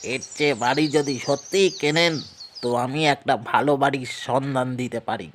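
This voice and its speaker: An actor playing a grave local man, recounting a haunted house's history in a cautionary, deliberate way for an audio horror story. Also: medium pitch, fairly bright tone, slight background noise, lightly acted. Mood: grave, warning.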